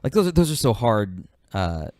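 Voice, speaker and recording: A man's voice: fairly low pitch, big pitch swings, moderate pace, a little mellow, dry recording, studio quality. A man hosting a casual group podcast, reading out suggestions and weighing them in an easygoing way.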